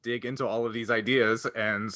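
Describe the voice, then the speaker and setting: A man's voice: medium pitch, flat delivery, normal speed, bright, forceful tone. A man co-hosting a podcast, explaining his thoughts conversationally in a casual roundtable chat. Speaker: podcast co-host.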